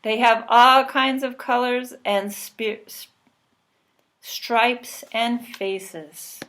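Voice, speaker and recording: Woman voicing a slow, earnest little honeybee girl. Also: a little high, fairly bright tone. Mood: proud, delighted. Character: young honeybee girl, earnest, wondering, storybook character.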